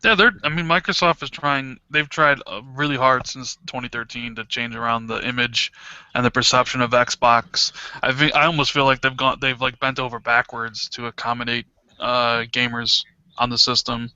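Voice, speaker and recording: Bright sharp-voiced guy chiming in on a games podcast, muffled lo-fi mic. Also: mid-range voice, steady tone, normal speed, clear, resonant tone, no echo. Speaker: podcast co-host. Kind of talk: casual gaming podcast chat.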